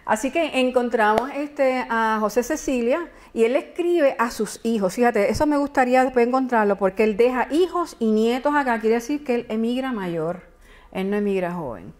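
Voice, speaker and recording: A woman's voice: fairly high pitch, animated, normal speed. Puerto Rican woman giving a conference talk. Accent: Puerto Rican Spanish. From Puerto Rico. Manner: informal, explanatory, with chatty asides. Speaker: conference speaker on genealogy. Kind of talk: conference presentation. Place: conference hall.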